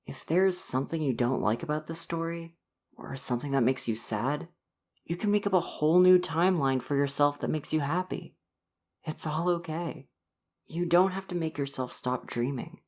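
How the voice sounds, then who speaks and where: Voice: medium pitch, close to an everyday voice. A performer playing an affectionate visual novel boyfriend in an ASMR roleplay, earnest and reassuring. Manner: soft-hearted, reassuring, earnest. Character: gentle, affectionate dating-sim boyfriend come to life. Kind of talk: ASMR roleplay, personal affirmation.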